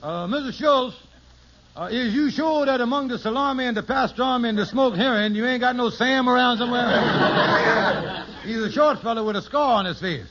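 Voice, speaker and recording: An actor playing a scheming, hen-pecked husband in an old radio sitcom, with a wheedling, stammering, theatrical delivery. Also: male voice, very high-pitched, moderate pace. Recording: noisy, dull old radio recording.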